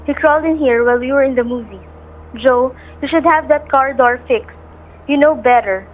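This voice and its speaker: A woman's voice: high voice, moderate pace. A woman playing a frightened, protective hostage wife trying to keep up appearances in a radio thriller. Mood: anxious, pleading. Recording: thin, phone-like radio-play recording.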